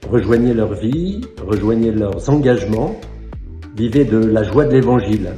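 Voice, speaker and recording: A man's voice: fairly low pitch, animated, normal speed, mellow, echoey room, faint music behind. A man solemnly sending out a team with formal exhortations. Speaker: church leader sending the team.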